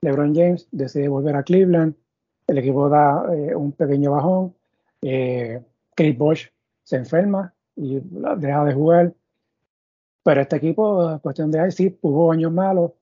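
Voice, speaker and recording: A man's voice: a little high, a little slow, dark tone, slightly rough, no room sound. A man hosting a sports podcast in Spanish, asking long, meandering questions full of fillers and restarts. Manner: thinks aloud with many fillers and restarts. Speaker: podcast host. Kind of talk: conversational sports interview. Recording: dull, muffled audio.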